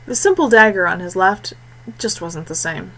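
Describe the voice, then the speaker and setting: Woman reading a fantasy story, measured and expressive. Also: lowish voice, poor audio. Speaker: audiobook narrator. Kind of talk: scripted fantasy story narration.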